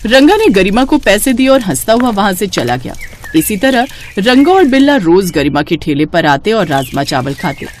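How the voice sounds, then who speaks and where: A woman narrating a story in an expressive storytelling style. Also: mid-range voice, moderate pace, slight background noise.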